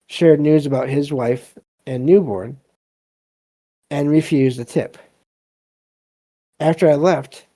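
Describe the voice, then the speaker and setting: Slow-talking man, mellow and creaky. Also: mid-range voice. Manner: halting, hesitant, reflective delivery. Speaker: podcast host. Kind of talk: casual commentary and reading listener stories aloud.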